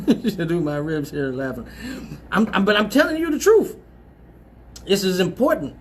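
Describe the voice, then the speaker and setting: A man's voice: high-pitched, animated, measured pace, slightly rough. A man hosting a live advice show, talking bluntly and profanely, laughing often and repeating himself as he goes. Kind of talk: crude casual advice talk.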